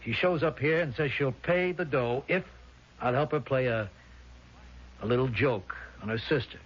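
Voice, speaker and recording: An actor playing a tough, shady restaurant owner in 1970s radio drama, gruff, slangy and streetwise. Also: male voice, medium pitch, normal speed, fairly bright tone. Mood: grudgingly confessing. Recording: dull vintage radio recording.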